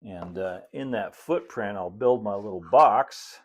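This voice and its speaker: A middle-aged American man giving a casual hands-on tutorial, unhurried and folksy, thinking aloud as he works. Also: fairly low pitch. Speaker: host, model railroad builder. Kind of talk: casual hands-on tutorial talk while working. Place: indoor hobby workshop at a cluttered workbench and layout.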